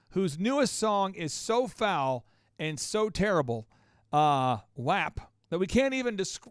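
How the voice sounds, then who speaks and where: A man's voice: high-pitched, dry recording, clean studio sound. A man hosting an opinionated interview show, speaking emphatically and repeating phrases for insistence. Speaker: podcast host.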